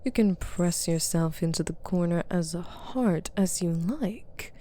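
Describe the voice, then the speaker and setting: Woman playing a female demon captor, low mellow voice, authoritative, possessive, taunting, breathy murmurs, ASMR roleplay. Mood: menacing, coaxing. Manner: authoritative, teasing, drawn-out, often dropping to breathy murmurs. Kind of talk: ASMR roleplay monologue to the listener.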